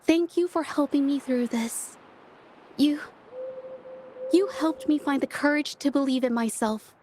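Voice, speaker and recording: High-pitched, earnest young painter girl. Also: measured pace, slight background noise. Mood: relieved, grateful. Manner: soft-hearted, earnest, hesitant when flustered. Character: shy, earnest young woman painter finding her courage. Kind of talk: anime-style video game voice acting. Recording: music behind the voice. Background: game soundtrack music.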